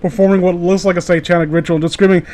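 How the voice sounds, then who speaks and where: A man's voice: sits high, little pitch movement, racing, darkish tone. A man co-hosting a casual comedy podcast about internet horror stories, sweary, joking and exclamatory. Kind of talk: casual comedic chat with mocking read-alouds.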